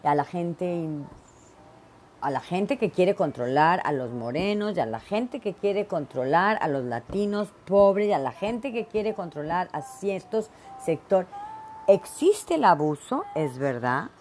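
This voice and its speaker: A woman's voice: lowish voice, big pitch swings, unhurried, darkish tone, slight background noise. A middle-aged woman from Veracruz, hosting her own show and giving blunt, emphatic opinions in a solo monologue full of fillers. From Mexico (Veracruz). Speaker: podcast host.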